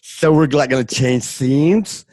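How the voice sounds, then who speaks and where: A man's voice: mid-range voice, slow pace, darkish tone, no room sound. A man narrating a radio play script in a loose, comic way, dropping wry, casual asides.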